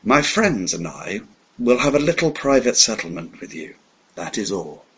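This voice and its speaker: A man voicing a smooth, menacing old gentleman villain who speaks quietly and with assurance, on a dull lo-fi recording. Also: medium pitch, big pitch swings, normal speed, exaggerated voice.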